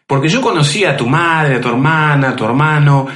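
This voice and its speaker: A man's voice: fairly high pitch, expressive, a little fast, fairly bright tone. A man with a Uruguayan accent interviewing a younger guest on the radio, talking in a joking, nostalgic way. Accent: Uruguayan Spanish. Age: older than guest. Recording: roomy radio sound.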